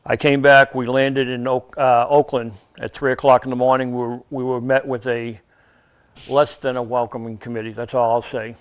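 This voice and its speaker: An older man talks in an earnest, measured way, with an unusually flat, even pitch. His voice comes through smooth and resonant, though the recording is dull and lo-fi. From Massachusetts. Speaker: veterans organization representative. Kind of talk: interview guest recounting memories.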